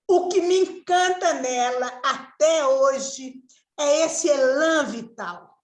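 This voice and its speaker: Older woman, high voice, unhurried admiring intro, echoey room. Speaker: trainer introducing a guest speaker. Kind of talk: warm spoken introduction.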